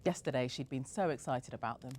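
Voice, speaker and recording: A woman reading a story aloud in a gentle, measured way. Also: rumbling low, slight background noise. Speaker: story reader. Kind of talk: storybook narration.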